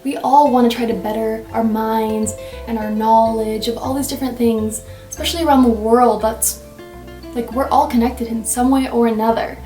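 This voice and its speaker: Young woman, upbeat casual vlogger, higher voice, recorded off-mic in an echoey room. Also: fairly even intonation, moderate pace, dark tone, distant mic, faint music behind. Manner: chatty and upbeat, lots of "like" and "right?". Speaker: language-learning vlogger. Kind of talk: casual to-camera vlog.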